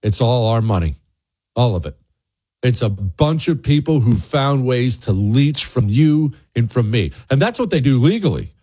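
A man's voice: mid-range voice, sing-song, moderate pace, dark tone, slightly rough, no echo. An American man hosting a talk show, delivering an opinionated political monologue in an emphatic, blunt, sarcastic way with rhetorical questions. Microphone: close studio microphone.

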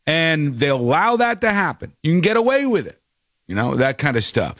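A man's voice: a little high, big pitch swings, normal speed, a bit bright, no room sound, studio quality. A man in casual comedic chat, talking bluntly and animatedly, joking with mild swearing.

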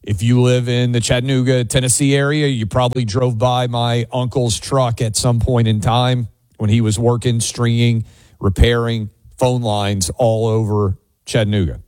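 An American man hosting a call-in radio show, giving opinionated commentary in a conversational, assertive, deliberate way. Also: medium pitch, little pitch movement, a little mellow, no echo. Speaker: talk radio host.

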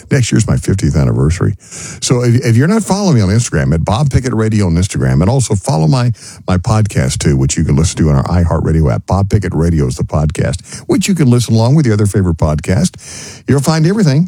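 A country radio host in his sixties with a West Texas accent, rambling and doubling back as he talks. His voice sits low and mellow with a husky edge. It swings up and down freely and sounds dry and close. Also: dry recording. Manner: rambling, self-interrupting. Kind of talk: casual radio chat.

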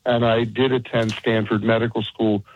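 A man in his seventies calling in to a radio show, speaking politely and hesitantly with pauses. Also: on the low side, flat delivery. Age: 70s. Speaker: caller. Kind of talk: phone-in call. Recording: tinny phone line.

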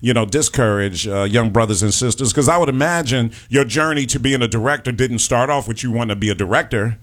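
A man's voice: mid-range voice, fast, fairly bright tone. Chicago guy hosting talk radio in the studio, laid back, keeps saying right and man. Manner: conversational, affirming, tags "right?" and "man". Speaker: radio talk show host. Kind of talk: casual radio interview.

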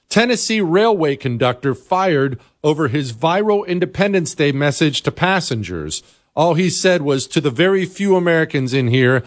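A man hosting a political commentary show, delivering an opinionated monologue in a scornful, sarcastic manner. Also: fairly high pitch. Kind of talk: opinionated monologue commentary over played clips.

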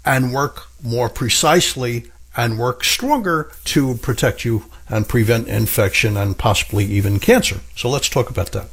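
A middle-aged man hosts a health radio show in a husky, slightly raspy voice. He speaks eagerly and piles up repeated phrases to drive his points home. His pitch sits in an ordinary middle range. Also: a bit bright. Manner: enthusiastic, emphatic, repeats for stress. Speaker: radio host and pharmacist. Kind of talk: radio health talk monologue.

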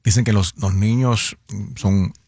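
A man's voice: fairly low pitch, fairly even intonation, slow pace, darkish tone, no room sound, studio quality. A male radio host chatting casually in the booth, reading out phone numbers and slipping into English now and then.

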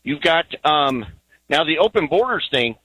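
A man phones in to a talk radio show and rambles earnestly through his point, with plenty of ums. His voice sits a little high for a man, and it sounds dry and close. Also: very animated, moderate pace, bright, forceful tone, slightly rough, dry recording, rough recording. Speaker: caller.

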